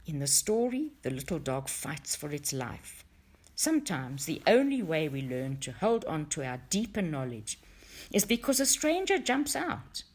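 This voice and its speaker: A woman narrates a book aloud in a low voice. Her intonation swings widely, and she places deliberate, expressive emphasis on key words as she reads. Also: breathy edge. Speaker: audiobook reader for a study group.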